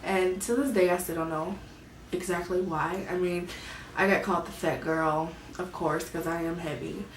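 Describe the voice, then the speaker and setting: A woman, vlogging about her own life in a soft, hesitant way, often searching for words. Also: on the low side, fairly even intonation, moderate pace, slight background noise. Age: 20s. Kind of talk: personal confessional vlog. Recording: echoey room, mic at a distance.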